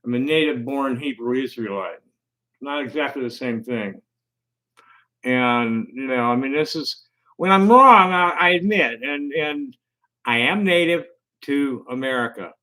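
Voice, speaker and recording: Halting man talking to camera. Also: medium pitch, lively intonation, sharp tone, low-quality recording. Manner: halting, many fillers and restarts. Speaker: vlogger. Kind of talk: casual talk to camera.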